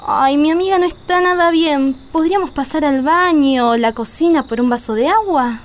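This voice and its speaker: A very high-pitched, urgent young woman with an Argentine accent , played by an actress. She is a bold schemer who bosses her friend around in a radio play. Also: moderate pace, muffled recording, natural voice. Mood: pleading. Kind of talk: radio drama acting.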